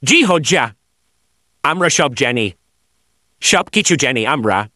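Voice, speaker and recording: A man playing an eager, flattering villager in a cartoon, speaking in an emphatic, insistent way. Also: mid-range voice, slow, dry recording, clean studio sound, full character voice. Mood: assertive. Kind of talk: animated cartoon dialogue.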